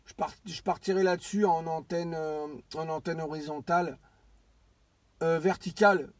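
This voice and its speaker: A man with a somewhat high voice talks in a dry, close sound. He rambles casually as a radio hobbyist, hesitating and circling back over his own phrases. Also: steady tone, normal speed, no echo. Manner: rambling, hesitant, repeats himself. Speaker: amateur CB radio operator.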